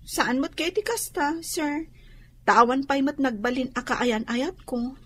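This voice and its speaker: A young woman acting in an Ilocano radio drama as a dutiful, guarded and deferential employee, with a husky voice. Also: high-pitched, a little slow, fairly bright tone. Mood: evasive.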